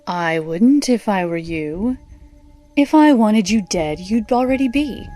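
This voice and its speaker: Superhero audio drama: actress as no-nonsense female blade fighter, velvety dark tone, measured menace, faint music behind. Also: medium pitch, expressive, natural voice. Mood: calm menace. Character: cool no-nonsense human superhero-fighter woman, teasing and menacing.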